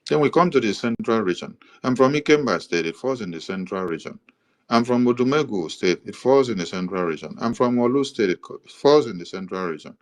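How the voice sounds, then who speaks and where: A man with a Nigerian accent giving an explanatory monologue, listing items in a steady, repetitive rhythm with occasional hesitations. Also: lowish voice, a little fast. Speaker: commentator presenting a map.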